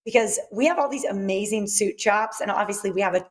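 A woman's voice: mid-range voice, fairly quick. A woman co-hosting a daytime TV show, asking questions in an upbeat, enthusiastic way. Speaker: morning show co-host. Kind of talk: daytime TV interview. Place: studio set.

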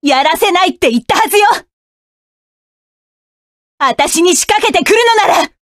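A woman's voice: very high voice, animated, normal speed, no echo, clean studio sound. An actress voicing a fierce, cold young female mecha pilot in a game, giving curt, commanding battle lines. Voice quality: sharp, commanding.

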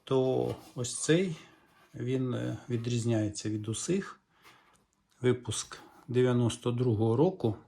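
A middle-aged man with a Ukrainian accent talks through a repair at his workbench. His voice is mellow and dark, and he speaks calmly, thinking aloud with small hesitations. The sound comes from the camera's own microphone. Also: mid-range voice, slow pace, vocal fry. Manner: calm, explanatory, musing aloud with hesitations. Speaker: repair vlogger. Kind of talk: hands-on repair walkthrough.

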